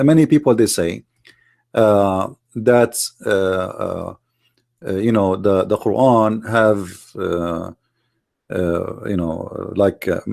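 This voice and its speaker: A man's voice: on the low side, creaky, darkish tone. A man whose first language is Arabic, a podcast guest arguing points at length in non-native English with many fillers. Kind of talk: podcast interview.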